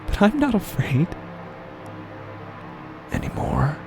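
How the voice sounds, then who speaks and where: Man acting a hushed, creaky-voiced madman in horror. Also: high-pitched, sing-song, background noise, voice pushed up, heavily acted. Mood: manic, eerie revelation. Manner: slow, halting, hushed, often breaking into whispers. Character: unhinged man narrator descending into door-obsessed madness. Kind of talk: first-person horror audio drama narration.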